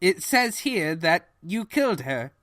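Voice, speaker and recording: A loyal royal underling acted by a man, deferential and long-suffering. The speech is slow but swings widely in pitch, with servile politeness fit for a cartoon storybook henchman. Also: full character voice. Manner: deferential, servile, deadpan asides. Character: loyal, long-suffering male pony chancellor to evil king.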